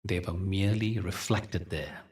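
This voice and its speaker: Deep-voiced man guiding a meditation, slightly distant. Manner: gentle, measured, soothing delivery with deliberate pauses. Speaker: meditation teacher and guide. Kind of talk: guided meditation with spiritual storytelling.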